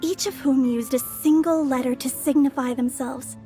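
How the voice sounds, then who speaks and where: A woman's voice: high voice, fairly even intonation, moderate pace, warm tone, faint music behind, close to an everyday voice. A woman voicing a sly, poised young outlander schemer in a video game, teasing and theatrically polite. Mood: poised, mysterious. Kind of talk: English video game voice acting.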